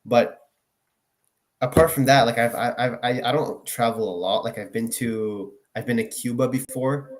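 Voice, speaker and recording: A man's voice: medium pitch, fairly even intonation, moderate pace. A young man from Finland, a guest in a casual chat, rambling with many fillers and swearing. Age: young adult.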